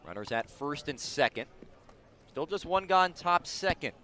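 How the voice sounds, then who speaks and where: A man's voice: on the high side, expressive, measured pace, sharp tone, a little hiss or hum. A man doing live baseball play-by-play on the radio from the ballpark, in clipped calls that rise with the action. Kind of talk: live sports radio commentary. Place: outdoors at a ballpark.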